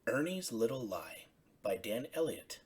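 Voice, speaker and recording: A woman storyteller reading a children's bedtime story expressively, in simple, deliberate phrases. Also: a bass voice, sing-song, darkish tone, slightly rough.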